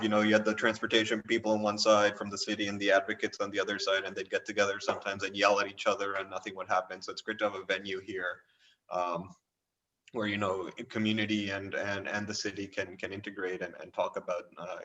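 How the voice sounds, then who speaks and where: A man's voice: on the low side, flat delivery, quick pace, bright, forceful tone. A man from Atlanta, a university researcher and bike mechanic, introducing himself on a video call with hesitant, stammering restarts. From Atlanta, USA. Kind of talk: committee self-introduction. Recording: distant, dull lo-fi call audio.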